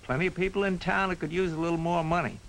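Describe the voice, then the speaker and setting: An actor playing a reasonable, reassuring small-town doctor in a 1950s film drama, measured and practical, on an old hissy soundtrack. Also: male voice, high voice, fairly even intonation, low-quality recording.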